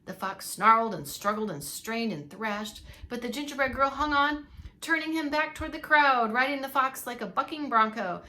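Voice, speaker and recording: Bright-voiced woman reading a children's story. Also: a little high, normal speed, low-quality recording. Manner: animated, dramatic emphasis for young listeners. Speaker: preschool teacher reading aloud. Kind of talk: expressive children's story narration.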